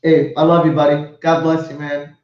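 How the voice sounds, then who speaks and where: A man's voice: fairly high pitch, flat delivery, normal speed, a little mellow. A man hosting a casual live show, effusive, swearing and laughing as he talks to guests. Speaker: host. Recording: echoey lo-fi stream audio.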